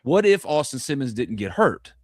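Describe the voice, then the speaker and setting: A man's voice: mid-range voice, big pitch swings, normal speed, no echo, studio quality. A man from North Mississippi, hosting a live sports podcast in a rambling, joking, self-deprecating way. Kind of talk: casual live sports chat.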